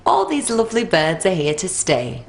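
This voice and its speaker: A woman's voice: on the low side, sing-song, normal speed, gravelly, a little hiss or hum. A woman voicing a carefree, stubborn little girl in a children's storybook, cheerful and defiant. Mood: cheerfully defiant.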